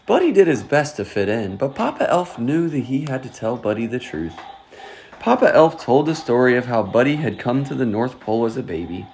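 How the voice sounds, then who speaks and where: A storyteller reading a children's picture book aloud in an expressive, scripted way. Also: medium pitch, brisk, slight background noise. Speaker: storytime reader.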